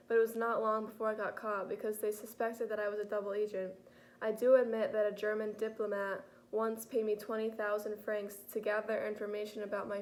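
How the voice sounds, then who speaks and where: A woman playing a desperate, defensive female dancer turned spy in a school role-play interview, speaking quietly and hurriedly. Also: medium pitch, close to an everyday voice.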